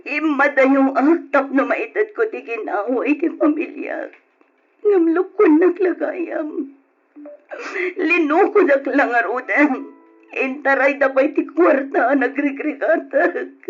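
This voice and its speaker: A woman's voice: sits high, lively intonation. An actress playing an anguished, betrayed wife and mother who works abroad, in an Ilocano radio drama with an emotional delivery and an Ilocano accent. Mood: tearful anguish. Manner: emotional, tearful, drawn-out delivery. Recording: dull, muffled old radio drama recording.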